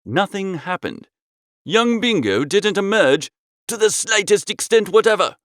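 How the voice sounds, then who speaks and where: Guy reading a funny old novel, droll and really lively pitch, close dry recording. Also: measured pace, no echo. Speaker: audiobook narrator. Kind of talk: comic first-person literary narration, read from text.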